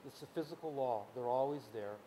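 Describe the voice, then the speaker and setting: A man's voice: mid-range voice, fairly quick, warm tone, a little hiss or hum, rough recording. A man teaching a casual workshop class on sound, explaining at length with many restarts and fillers. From Ukrainian-speaking background. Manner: explanatory, rambling, many restarts and "you know"s. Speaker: music teacher. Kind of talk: informal workshop lecture. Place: workshop room at a camp.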